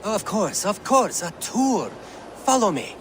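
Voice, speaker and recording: A man's voice: sits high, very animated, takes it slowly, a little hiss or hum, full character voice. An actor playing a deluded, proud old man in a video game, rambling and grandiose. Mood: grandly welcoming. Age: older. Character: deluded old Saxon man, proud, fretful, wandering-witted. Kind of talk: video game cutscene acting.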